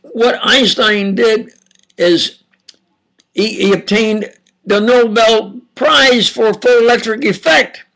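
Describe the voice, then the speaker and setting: An older man with an Upstate New York and New Jersey accent, giving a halting, insistent monologue lecture with sudden loud emphasis. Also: high-pitched, gravelly, poor audio. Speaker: self-styled preacher lecturing on camera.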